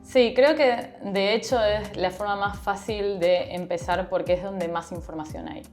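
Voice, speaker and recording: A woman's voice: mid-range voice, measured pace, a little mellow, faint music behind. A woman with an Argentine accent, raised in Paraguay, answering interview questions as an expert in international relations, thoughtful and often restarting her words.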